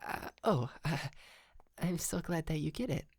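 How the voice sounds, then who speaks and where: A man playing a polite, sheepish, wealthy young yandere kidnapper in an ASMR roleplay, speaking softly and hesitantly with stammers and breathy whispered moments. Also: a little high, animated, unhurried, no room sound, exaggerated voice. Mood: awkward, polite.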